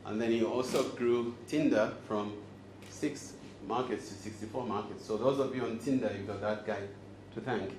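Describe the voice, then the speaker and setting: Zambian guy talking to a live crowd, lowish voice, jokes a lot, echoey room with noise behind. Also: normal speed, few pauses. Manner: joking and engaging, quizzes the crowd. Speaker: host and speaker. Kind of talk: live talk to an audience. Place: event room with audience.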